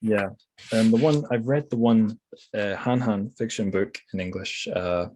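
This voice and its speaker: Guy with a lowish voice chatting about books on a podcast, musing and kinda tentative. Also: measured pace, darkish tone, a little hiss or hum, low-quality recording. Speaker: podcast host. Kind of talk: casual book discussion.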